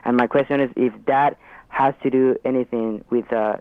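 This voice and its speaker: An eighteen-year-old man from Mexico with a Mexican accent, calling a radio advice show and stammering through halting, restarting sentences. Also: mid-range voice, steady tone, no echo. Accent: Mexican Spanish. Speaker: caller. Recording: tinny phone line.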